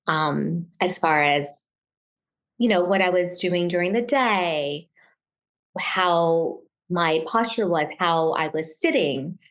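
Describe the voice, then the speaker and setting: A middle-aged woman born in Taiwan, talking casually as an interview guest, often pausing and restarting her sentences. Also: low-pitched. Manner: hesitant, many restarts and fillers.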